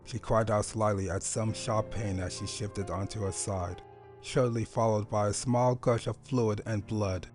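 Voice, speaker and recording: A man's voice: lowish voice, steady tone, measured pace. A man reading a scripted story aloud as its narrator. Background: faint music.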